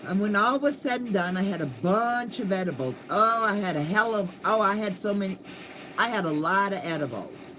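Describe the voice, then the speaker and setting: Older woman vlogger, chatty and goofy, pitch bouncing all over, casual livestream talk. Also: expressive, moderate pace, noisy background.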